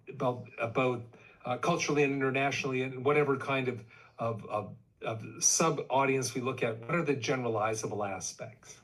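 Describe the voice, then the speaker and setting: A man lectures over a video call, feeling his way through open research questions with frequent restarts. His voice is at a middling pitch and plain. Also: fairly bright tone, low-quality recording. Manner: thoughtful, searching for words, many restarts. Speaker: guest lecturer. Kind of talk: academic lecture.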